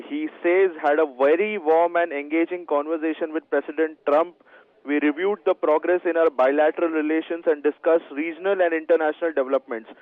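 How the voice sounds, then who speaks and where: A man's voice: a little high, no room sound, narrow, tinny audio. A man with an Indian accent anchoring live breaking news in an urgent manner.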